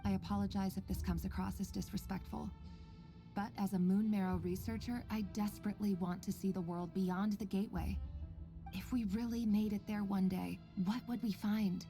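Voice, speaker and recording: Actress as a mellow, composed female researcher. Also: mid-range voice, fairly even intonation, soft background music, close to an everyday voice. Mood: composed, formal. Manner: measured, articulate, formal. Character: earnest, composed female researcher, quietly secretive and caring. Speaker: voice actor in a game story quest. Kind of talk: scripted game dialogue and log narration.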